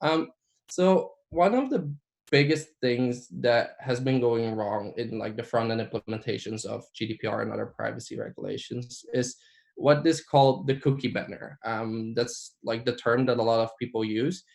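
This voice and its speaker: A man's voice: mid-range voice, normal speed, creaky, a little mellow, rough recording. A man from Belgium, a Dutch native speaker, giving a conference talk in a casual way with many ums and likes.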